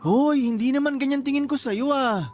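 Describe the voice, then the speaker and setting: A man's voice: extremely high pitch, normal speed, faint music behind. A man playing a sulky, complaining young boyfriend in a radio drama, whiny and exasperated in manner. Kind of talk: Tagalog radio drama acting.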